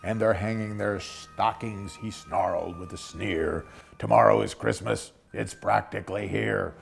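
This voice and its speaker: Female storybook reader, very deep register, creaky gravel, music underneath. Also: steady tone, measured pace. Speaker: library staff member reading aloud. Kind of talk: expressive children's storybook reading.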